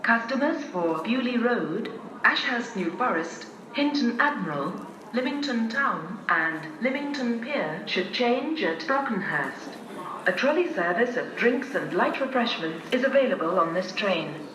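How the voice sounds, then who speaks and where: A British woman gives formal railway announcements at a slow, measured pace. She reads in neatly separated phrases, carried over an echoing station loudspeaker with clear background noise. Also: on the high side, sing-song, far from the mic. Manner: formal, measured, clearly segmented list reading. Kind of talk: scripted public address train announcement. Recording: echoey station tannoy from afar, noisy.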